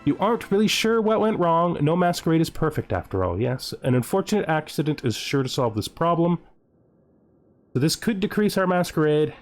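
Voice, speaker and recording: A man's voice: fairly high pitch, very animated, fairly quick, soft background music. A man hosting a gaming video, thinking aloud in casual commentary and playfully gloating with in-character asides.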